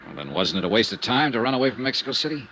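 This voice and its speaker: A man's voice: medium pitch, big pitch swings, racing, bright, forceful tone, slight background noise. An actor playing a hard-boiled male insurance investigator in a 1950s radio drama, narrating and questioning with dry, persistent wit. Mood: wry. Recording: muffled old radio broadcast.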